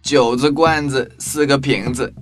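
An earnest young fisher acted by a man in a children's radio play. The voice is medium in pitch and expressive, with music clearly playing behind. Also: measured pace, natural voice. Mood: dismayed. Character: Yanuk, earnest young fishing companion. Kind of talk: children's radio drama acting.

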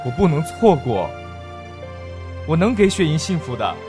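A man's voice: on the high side, big pitch swings. Man acting earnest young lover, swooping pleas over music. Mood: pleading, determined. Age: young adult. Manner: halting, pleading, emotional delivery. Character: earnest, devoted young man pleading for his love. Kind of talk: Mandarin audio drama acting.

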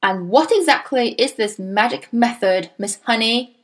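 A woman voicing a sarcastic, bullying headmistress who barks and sneers loudly. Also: a little high, lively intonation, a little slow. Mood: scornful. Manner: barking, sneering, loud.

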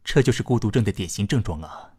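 An actor playing a calm, formal doctor in a science-fiction radio drama, speaking in a measured, polite, clinical way. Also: male voice, lowish voice, husky. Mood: clinical, patient. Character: calm, formal male doctor delivering a diagnosis.

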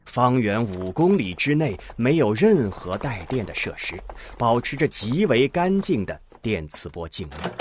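A man narrating an audio drama from a script in a deliberate, dramatic storytelling manner. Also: medium pitch, noisy background. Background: music.

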